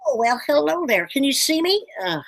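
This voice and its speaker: Kobieta grająca gadatliwą 95-letnią babcię. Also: female voice, high-pitched, big pitch swings, moderate pace, vocal fry, heavily acted. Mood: chatty. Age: older. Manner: rambling, folksy, sighing. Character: chatty 95-year-old granny puppet, sleepy.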